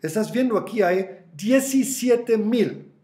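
Middle-aged male host, native German speaker, slightly high voice, echoey small room. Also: slow. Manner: animated, gestures with both hands while explaining. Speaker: crypto channel host. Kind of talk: explanatory video talk to camera.

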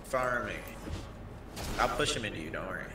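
A man's voice: fairly low pitch, expressive, moderate pace. A man chatting casually and swearing as a teammate during an online game. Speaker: gaming teammate. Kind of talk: casual gaming chat. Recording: echoey voice chat, loud music. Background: loud music, game.

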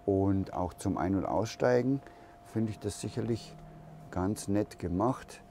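A man giving a relaxed, unhurried walkaround tour of a camper van, thinking aloud as he goes, recorded inside the van at a trade fair hall. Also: on the low side, slight background noise.

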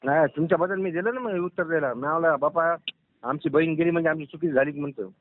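Marathwada guy on a bad phone line, highish voice, keeps placating and defending himself respectfully. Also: fast talker, slight background noise. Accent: rural Marathwada Marathi. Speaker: caller, local party worker. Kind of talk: phone conversation. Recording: tinny recorded phone call.

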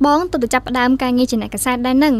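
A woman's voice: high-pitched, racing, darkish tone. A woman playing a young travel journalist in a radio drama. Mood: casual, chatty. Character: young female travel journalist, reserved but helpful.

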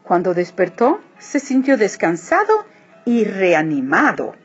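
A woman reads a children's story with dramatic, deliberate phrasing. Her melody swings widely and she takes her time, sounding a bit husky. The recording is slightly muffled with faint music behind. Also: mid-range voice, big pitch swings. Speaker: storybook reader. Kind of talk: expressive children's story narration.

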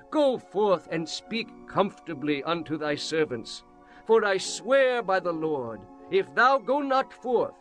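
A man's voice: high-pitched, fairly bright tone, heavily acted, poor audio. A man playing a blunt, indignant military commander in a scripture audio drama, declaiming in archaic language. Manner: slow, declamatory archaic speech.

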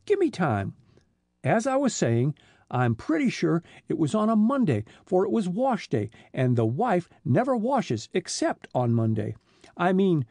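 A man voicing a slow-witted, long-winded middle-aged truck driver who talks in a plodding, folksy way. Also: on the high side, warm tone, dry recording, clean studio sound, full character voice. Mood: rambling.

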